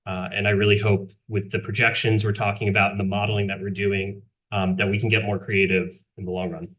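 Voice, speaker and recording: A man, a council member, making measured remarks at a city council meeting, with frequent fillers. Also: lowish voice, flat delivery, fairly bright tone, rough recording.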